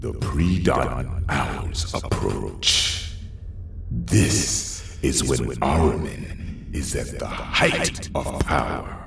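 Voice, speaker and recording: An actor playing a menacing, power-hungry monster in an audio horror drama, speaking slowly and pompously. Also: male voice, rumbling low, expressive, gravelly, exaggerated voice. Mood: grandiose menace. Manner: slow, pompous, grandiose. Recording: echoey, distant, faint noise.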